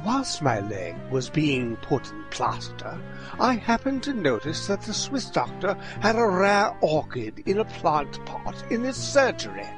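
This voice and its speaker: An actor playing a pompous male detective, telling his exploits theatrically and deliberately in a comic radio play. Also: on the high side, wildly swooping pitch, music in the background. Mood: deadpan recounting.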